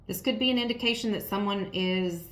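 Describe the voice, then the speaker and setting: Hoarse woman tarot reader, mid pitch, blunt and repetitive, roomy echo. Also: fairly quick, fairly bright tone. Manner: frank, repetitive, talks straight to the viewer. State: raspy, strained voice from yelling. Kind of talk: casual tarot reading to viewers.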